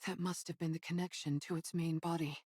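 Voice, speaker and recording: A woman's voice: lowish voice, level tone, a little fast, darkish tone, slightly rough, no room sound, studio quality. A woman playing a composed, playfully amused young female fighter in a game cutscene, wry and self-assured. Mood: thoughtful. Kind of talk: English game cutscene dub acting.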